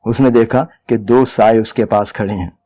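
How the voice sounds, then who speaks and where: A man's voice: lowish voice, steady tone, normal speed, dark tone. A man reading a short story aloud as a dramatic, scripted narration.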